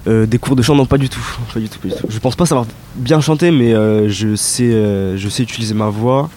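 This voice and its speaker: A young man giving casual, hesitant interview answers with lots of fillers and relaxed slang. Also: lowish voice, a little fast, slight background noise. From Italian descent, raised in France. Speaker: rapper interviewed by students.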